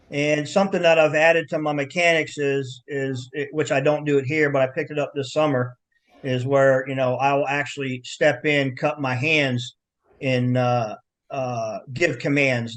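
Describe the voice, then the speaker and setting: Veteran male ref, slightly high voice, rambling, filler-laden answers. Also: fairly even intonation. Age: older. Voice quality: loud, carrying voice. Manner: halting, filler-heavy with frequent "you know" and restarts. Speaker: wrestling referee as guest. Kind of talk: casual interview.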